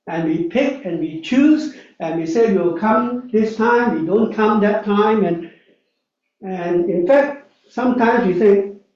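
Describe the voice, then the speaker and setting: Man in his 60s, lay preacher, high mellow voice, chatty anecdotal sermon, echoey hall off a distant mic. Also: takes it slowly, lo-fi sound. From Malaysia. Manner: chatty, anecdotal, frequent "uh" and "right?" asides. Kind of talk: storytelling sermon. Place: church hall.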